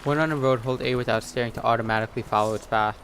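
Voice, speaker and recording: A man's voice: medium pitch, fairly even intonation, racing, slight background noise. A man giving casual commentary as he plays a video game, sometimes reading on-screen text aloud. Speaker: gaming video host. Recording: voice over loud game music. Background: game music and horse sounds.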